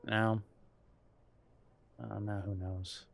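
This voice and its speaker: A male actor as a gentle, nervous boyfriend in an audio drama, with a fairly low voice. He speaks intimately and haltingly, trying to reassure while sounding unsure himself. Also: level tone, vocal fry, full character voice. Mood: wistful, apprehensive. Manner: hesitant, halting, sighing delivery with soft chuckles. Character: nervous, reassuring grown son visiting his mother, affectionate boyfriend. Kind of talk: intimate audio roleplay acting.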